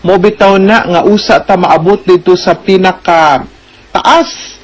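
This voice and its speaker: A woman hosts a radio advice program with a Cebuano Filipino accent. She addresses listeners very slowly and reflectively, sometimes slipping into English. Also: lowish voice, rough recording. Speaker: radio legal advice host, attorney.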